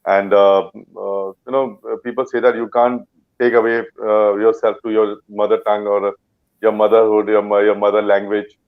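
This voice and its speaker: A man's voice: on the low side, flat delivery, normal speed, smooth. Punjabi man telling set stories, lo-fi. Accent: Indian English. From Punjab, India. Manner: rambling, many fillers and restarts. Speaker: actor guest. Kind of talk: casual interview chat.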